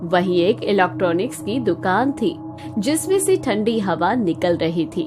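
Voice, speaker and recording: A woman's voice: medium pitch, animated, a little slow, music in the background. A woman narrating a scripted cartoon story as its storyteller.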